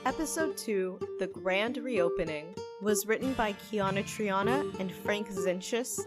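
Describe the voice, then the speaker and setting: A woman reading scripted podcast credits in an announcer's style. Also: mid-range voice. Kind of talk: scripted narration. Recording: loud music bed.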